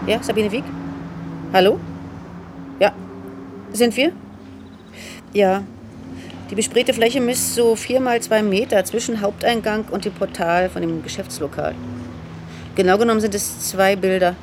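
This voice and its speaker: An actress playing an assertive police officer in a radio drama, brisk and matter-of-fact. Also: female voice, mid-range voice, noisy background, close to an everyday voice. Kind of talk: naturalistic radio drama dialogue.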